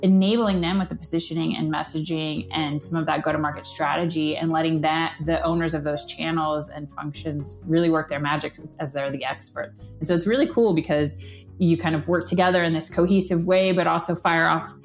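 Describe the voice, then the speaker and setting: Slightly low-voiced woman over faint music. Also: steady tone, a little fast. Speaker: product marketing manager. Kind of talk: casual interview answers, recounting work experience.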